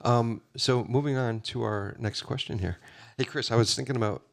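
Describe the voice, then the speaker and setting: A man's voice: mid-range voice, normal speed, vocal fry. A man moderating a panel, casual and affirming as he asks questions. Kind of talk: panel discussion.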